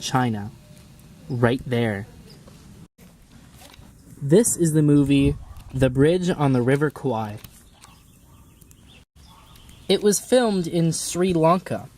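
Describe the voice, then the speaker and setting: A man's voice: medium pitch, lively intonation, takes it slowly, mellow. A young man presenting an amateur news report. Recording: distant mic, music behind. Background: music.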